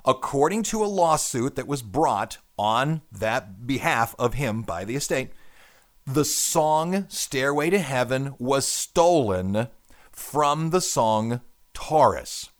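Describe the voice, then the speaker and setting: A man's voice: medium pitch, lively intonation, slow. Chatty male radio host. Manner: chatty, explanatory, lecture-like. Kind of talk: casual talk radio.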